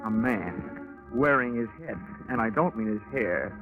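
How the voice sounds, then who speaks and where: An actor playing a tough, wry American insurance investigator, narrating a 1940s hard-boiled radio drama. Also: male voice, lowish voice, expressive, unhurried. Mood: tense. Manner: wry, dry wisecracks. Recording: muffled 1940s radio recording with music. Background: music.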